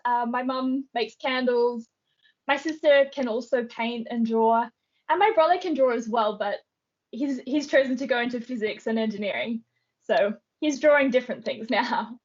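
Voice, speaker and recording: A young Australian woman answering interview questions in a relaxed, thoughtful way, with frequent ums. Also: high voice, measured pace, muffled recording. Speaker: guest artist.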